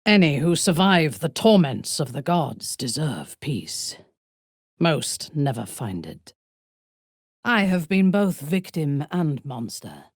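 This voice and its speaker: A woman's voice: low-pitched, sing-song, no echo, clean studio sound, full character voice. An actress playing a proud, ruthless exiled warrior woman in a video game, speaking formally with sardonic, imperious deliberation. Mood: solemn resolve. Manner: haughty, formal, deliberate phrasing. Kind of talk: video game character voice lines.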